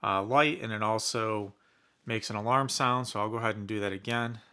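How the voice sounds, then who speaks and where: A man's voice: lowish voice, fairly quick, pushed and bright, a little hiss or hum. A man giving casual, conversational commentary as he reviews a product in an unboxing. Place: indoors in a basement.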